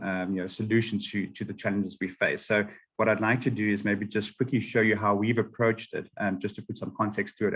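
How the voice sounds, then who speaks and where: A man's voice: on the low side, slightly rough. South african man presenting on a webinar. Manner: steady explanatory delivery with frequent ums. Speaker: webinar panelist.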